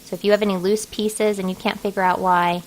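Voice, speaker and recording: A woman narrating an informal software tutorial, talking casually and thinking aloud as she works. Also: mid-range voice, flat delivery, brisk, slight background noise, rough recording. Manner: casual, folksy, thinking aloud while working. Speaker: craft design software tutorial host.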